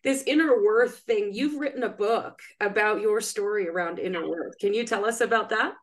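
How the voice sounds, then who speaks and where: A woman hosts an interview show, warmly affirming her guest and steering the conversation with her questions. Her voice sits at a mid pitch. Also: moderate pace. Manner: enthusiastic, affirming. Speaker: podcast host. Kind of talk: interview hosting.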